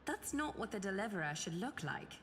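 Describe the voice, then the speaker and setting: A woman's voice: mid-range voice, very animated, brisk, fairly bright tone, a little hiss or hum. A woman voicing a poised, dry, aloof young noblewoman in a video game, composed and formal. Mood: composed, wry. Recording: long reverb on game dialogue, music behind.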